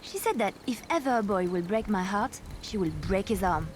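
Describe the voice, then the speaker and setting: A woman's voice: medium pitch, animated, moderate pace, slight background noise, natural voice. An actress playing a romantic, reckless young French woman in a video game, musing dramatically with a French accent. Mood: wry, fond. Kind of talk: video game character dialogue.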